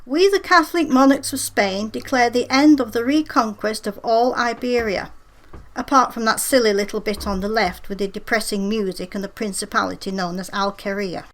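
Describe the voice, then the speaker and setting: Woman acting old-time queen, high-pitched, regal, haughty, pompous, comic radio play. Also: normal speed, a bit bright, lightly acted. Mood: declaring.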